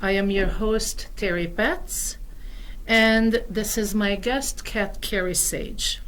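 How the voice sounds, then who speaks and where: A woman's voice: medium pitch, a bit bright, slight background noise, rough recording. A middle-aged woman from Hungary with a Hungarian accent, hosting a community radio show and reading a prepared introduction. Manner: slow, careful, deliberate delivery. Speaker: host.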